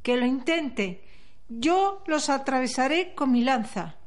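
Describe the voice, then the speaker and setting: A woman's voice: high voice, animated, slow. A woman playing a bold young warrior in an amateur radio drama, with declamatory delivery. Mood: defiant.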